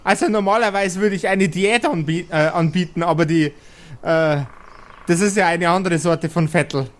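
A man's voice: high voice, moderate pace, lightly acted. A man voicing a doting, fussing dwarf mother who talks affectionately to her boys in an improvised audio play. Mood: worried, fussing. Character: doting dwarf mother, folksy, feeding her three grown sons.

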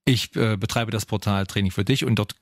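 A man's voice: lowish voice, brisk, no echo, studio quality. A man in his forties speaking as a fitness trainer, explaining things informally with examples and frequent tag questions. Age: 40s. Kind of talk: casual explanatory coaching talk.

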